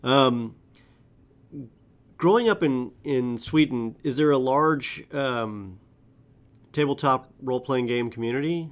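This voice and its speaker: A man's voice: medium pitch, takes it slowly, long pauses. An American man hosting an interview, asking questions with interest and a few hesitant fillers. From United States. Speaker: podcast host. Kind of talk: casual interview.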